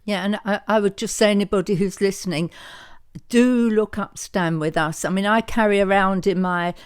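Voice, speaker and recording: A woman co-hosting a podcast, chatting casually with a smooth voice. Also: medium pitch, steady tone, normal speed. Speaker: podcast co-host. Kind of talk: casual chat.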